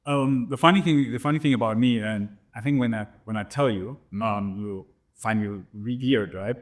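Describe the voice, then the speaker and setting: A man's voice: on the low side, moderate pace. A man in his thirties with a slight German accent, a DJ telling stories about his records in a casual interview, rambling and tagging his sentences with "right?". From Germany and Namibia. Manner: rambling, many restarts and "right?" tags. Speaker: DJ guest.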